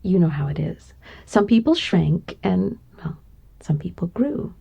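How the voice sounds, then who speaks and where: Breathy, doting giantess secretary, played by a woman. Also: on the low side, big pitch swings, unhurried, full character voice. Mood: tender, attentive. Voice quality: breathy, soft, often slipping into whisper. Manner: tender, coy, hesitant, giggly asides. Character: gentle giantess secretary, doting, teasing, soothing, grown woman. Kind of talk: ASMR roleplay, intimate one-sided conversation.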